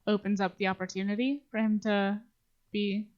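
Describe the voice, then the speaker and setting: A woman's voice: mid-range voice, level tone, moderate pace, a little mellow. Casting: female podcast co-host, mid-range voice, loose conversational delivery, slightly muffled recording. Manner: informal, swearing. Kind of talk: casual discussion.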